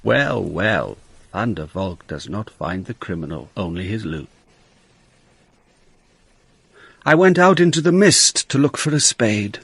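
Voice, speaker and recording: An actor playing a blunt, world-weary police inspector in a radio drama, terse and commanding, both in dialogue and first-person narration. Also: male voice, fairly low pitch, big pitch swings, normal speed, gravelly, fairly bright tone, slightly rough, full character voice. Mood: sombre, reflective. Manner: terse, commanding, hard-boiled.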